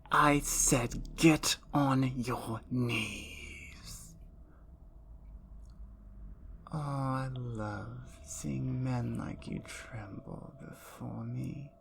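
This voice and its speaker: A woman voicing a predatory, seductive female vampire in an ASMR roleplay, speaking in slow, low, teasing whispers. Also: steady tone, gravelly, a little hiss or hum, heavily acted.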